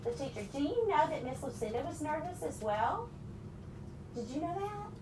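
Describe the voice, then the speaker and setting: A woman's voice: high voice, very animated, normal speed. A woman reading a picture book aloud to children and asking them questions. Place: echoing room with children present. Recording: roomy, distant mic with background noise.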